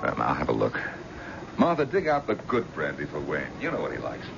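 An actor playing a brusque, impatient, middle-aged wealthy husband with a blustering, demanding way of talking in a radio drama. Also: male voice, a bass voice, wildly swooping pitch, a little fast, vocal fry, heavily acted. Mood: bluff, scornful. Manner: blustering, short-tempered, demanding. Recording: dull, noisy old radio broadcast.

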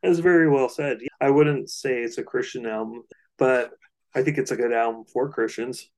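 A man's voice: mid-range voice, fairly even intonation, moderate pace, a little mellow. A man co-hosting a podcast, explaining things informally in loosely phrased, casual discussion. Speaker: podcast co-host.

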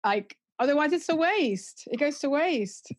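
A woman's voice: high voice, animated, unhurried. A woman joins the casual chat with a teasing, enthusiastic edge. Her voice sits slightly above the usual range.

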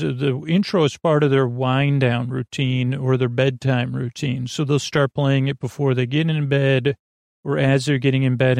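Gravelly, meandering man hosting a sleep podcast. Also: mid-range voice, a little mellow, no echo, clean studio sound. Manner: meandering, halting, drifts off on tangents. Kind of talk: rambling, conversational bedtime monologue.

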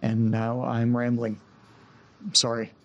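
An actor playing a dazed, anxious, apologetic man in a naturalistic audio drama, speaking haltingly in a husky, creaky voice. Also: medium pitch, completely flat delivery, lo-fi sound. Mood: sheepish, weary. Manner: halting, rambling, sighing.

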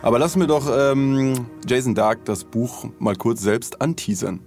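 A man co-hosting a podcast in casual chat, joking in a deadpan way. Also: mid-range voice, moderate pace, over music. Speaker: podcast co-host.

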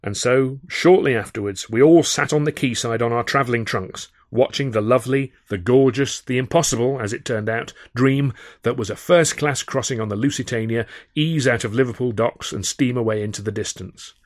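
A man reading a first-person audiobook in a measured, expressive and wry storytelling manner, close on the microphone. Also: medium pitch, dry recording. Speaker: author reading his own novel.

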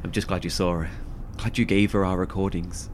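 A man acting a heartfelt, halting, foul-mouthed survivor devoted to his brother in a horror audio drama. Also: deep voice, little pitch movement, slight background noise, natural voice. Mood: quietly fond.

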